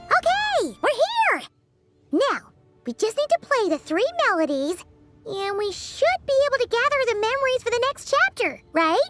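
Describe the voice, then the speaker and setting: Squeaky, excitable childlike companion. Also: female voice, moderate pace, faint music behind, full character voice. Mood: eager. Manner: chirpy, blunt, exclaiming. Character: small childlike female companion, excitable and easily exasperated. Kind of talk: video game character acting.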